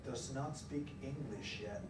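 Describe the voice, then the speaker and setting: A man teaching a class through an interactive read-aloud, pausing to question and explain as he goes. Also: mid-range voice. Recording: echoey room, far from mic, loud noise.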